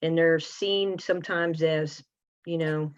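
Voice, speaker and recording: A woman's voice: low voice, fairly even intonation, slow pace, dull audio. A woman co-presenting at a teacher webinar, speaking in an earnest, plainspoken way. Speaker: co-presenter, special education teacher. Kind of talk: conversational webinar talk.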